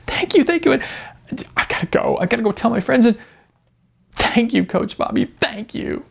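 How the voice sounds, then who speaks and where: A narrator voicing a sweet, excitable young actress with a gushing, halting delivery. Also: medium pitch, gravelly, husky, pitched above the performer's own voice, exaggerated voice. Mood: elated, tearful.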